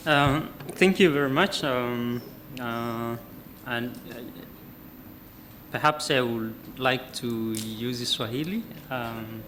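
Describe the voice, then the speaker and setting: Tanzanian man, measured panel remarks. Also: medium pitch, fairly bright tone, a little hiss or hum. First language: Nyaturu. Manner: measured, hesitant, many fillers. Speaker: farmer representative. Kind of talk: panel remarks mixing Swahili and English.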